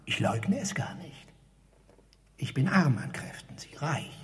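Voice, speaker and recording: An actor playing a fearful, self-abasing car park attendant who pleads in formal, stilted phrases, in a German radio drama. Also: male voice, on the high side, sing-song, measured pace. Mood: pleading, self-abasing. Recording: long hall reverb.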